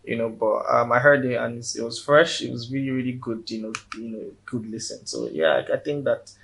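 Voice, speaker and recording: Nigerian guy guest on a chat, earnest, keeps repeating words, normal pitch. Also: animated, brisk, gravelly, a bit bright. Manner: earnest, repeats words while building a point. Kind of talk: casual interview chat.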